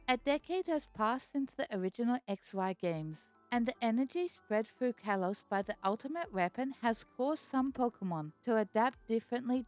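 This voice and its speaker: A woman hosting her own video, reading a scripted explanation in a measured, explanatory way. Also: on the high side. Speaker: video host. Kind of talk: scripted narration.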